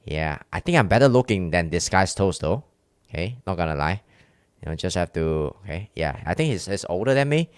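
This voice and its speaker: A man's voice: low voice, animated, brisk, clear, resonant tone, dry recording, studio quality. A man with a Chinese accent, a streamer chatting casually with his viewers, rambling and candid with many restarts. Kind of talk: casual live-stream chat with chat.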